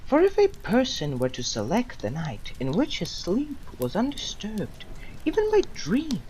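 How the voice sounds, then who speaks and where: A woman playing a condemned old philosopher, defiant and serene, delivering a solemn, deliberate theatrical monologue to judges with long pauses. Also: mid-range voice, huge pitch swings, low-quality recording. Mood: calm, philosophical. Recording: amateur recording with loud background noise.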